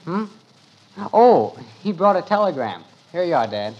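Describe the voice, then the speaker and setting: An actor playing an eager, oblivious teenage son in a mid-century radio comedy, chatty and guileless. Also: male voice, fairly high pitch, big pitch swings, unhurried, very noisy. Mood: chatty, amused. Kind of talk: 1940s-50s radio sitcom acting. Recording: dull lo-fi old radio recording.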